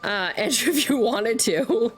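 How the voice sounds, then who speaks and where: A woman's voice: a little high, animated, unhurried. A woman doing casual live-stream game commentary, chatty and candid, swearing freely. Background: game music.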